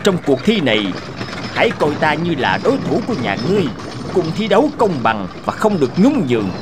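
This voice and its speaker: Boastful, animated cartoon king. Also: fairly high pitch, fairly quick, background noise. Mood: insistent. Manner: imperious, boastful. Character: proud, commanding, competitive bearded king. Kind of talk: cartoon fairy-tale voice acting.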